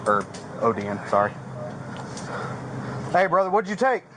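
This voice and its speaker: A man's voice: fairly high pitch, sing-song, moderate pace. A man working as a police officer, giving commands and coordinating on scene in very noisy footage.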